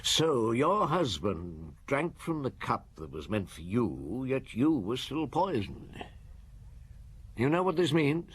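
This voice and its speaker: An actor playing a shrewd, weary, middle-aged police detective in a radio drama, speaking in a measured, probing, dry and blunt way. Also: male voice, mid-range voice, very animated, slightly rough, heavily acted.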